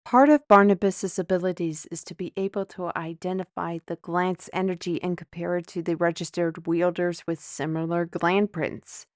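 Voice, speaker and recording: A woman playing a defiant, sharp-tongued steward in a fantasy audio drama, speaking in a sardonic, outspoken way. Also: lowish voice, flat delivery, moderate pace, few pauses, no echo, clean studio sound, close to an everyday voice. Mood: explanatory.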